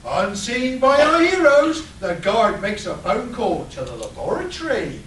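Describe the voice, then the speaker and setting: Very high-pitched, theatrical male narrator. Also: very animated, unhurried, a bit bright. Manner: melodramatic serial announcer delivery. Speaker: narrator of a radio play. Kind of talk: theatrical scripted narration, live comedy. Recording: roomy, distant live convention recording with noise.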